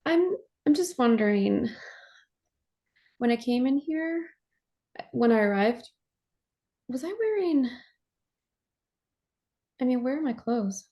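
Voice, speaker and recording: Woman acting a high-voiced, bewildered runaway bride. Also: very animated, dark tone, heavily acted. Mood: puzzled, uneasy. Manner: hesitant, halting, polite. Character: polite, bewildered runaway bride waking in a stranger's home. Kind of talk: staged play reading, naturalistic stage acting.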